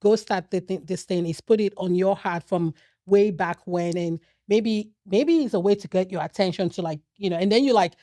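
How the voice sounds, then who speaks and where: A woman's voice: mid-range voice, moderate pace, dry recording, clean studio sound. A young adult woman hosting a podcast interview, chatting casually and thinking aloud. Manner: warm, affirming listener who thinks aloud. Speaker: podcast host.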